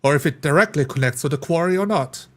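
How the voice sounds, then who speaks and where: A man playing a sober fantasy adventurer in a tabletop game, speaking formally and deliberately. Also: on the high side, lightly acted. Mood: grave.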